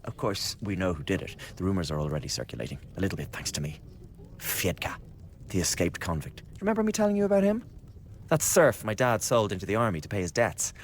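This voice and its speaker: An actor playing a glib, scheming young revolutionary in a radio drama, chatty and insinuating with a blackly flippant manner. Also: male voice, deep voice, big pitch swings, fast, a little hiss or hum. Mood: mock-sorrowful, sly. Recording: studio radio drama with background ambience.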